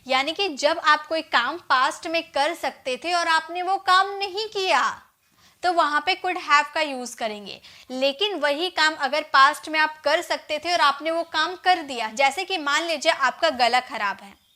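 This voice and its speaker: An Indian woman teaching an English grammar lesson, explaining in Hindi and dictating example sentences slowly word by word. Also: sits high, pushed and bright.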